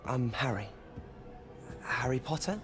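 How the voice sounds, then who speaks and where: A man's voice: medium pitch, lively intonation, unhurried, lightly acted. A man voicing an earnest, stubborn teenage boy wizard in a video game. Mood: hushed, wary. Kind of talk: dramatic video game dialogue. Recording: game music under the voice.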